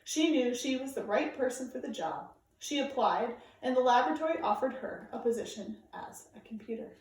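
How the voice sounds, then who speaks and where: A woman reading aloud to children like a teacher, with clear, deliberate, emphatic phrasing. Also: on the high side, animated, darkish tone. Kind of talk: read-aloud storytelling for children, informal introduction. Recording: very echoey room, distant microphone.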